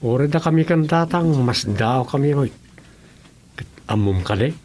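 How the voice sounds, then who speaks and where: An excitable rural fisherman's son, acted by a man, speaks at a medium pitch with an Ilocano accent. It is played as radio comedy-drama, with clear background noise around the voice. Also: a little slow, lightly acted. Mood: earnest.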